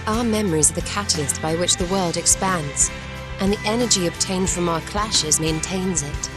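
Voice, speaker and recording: A woman voicing a refined, knowing game champion in a fairly low register. She speaks formally and precisely, with clear background music. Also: normal speed, lightly acted. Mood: scholarly. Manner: formal, archaic, precise diction. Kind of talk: video game cutscene acting. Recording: music playing behind.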